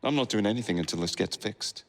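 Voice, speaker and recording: An actor playing a cocky, brash young Victorian gang leader with a gravelly voice in a video game. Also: male voice, fairly low pitch, fast talker. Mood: dry, brash. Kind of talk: video game cutscene acting.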